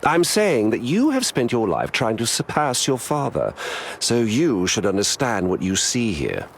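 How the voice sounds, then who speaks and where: A man's voice: medium pitch, huge pitch swings, measured pace, slight background noise. Theatrical, wildly swooping trickster god-king. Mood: smug, mocking. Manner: pompous, theatrical, mocking. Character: scheming, arrogant trickster god-king, half frost giant. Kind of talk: video game voice acting.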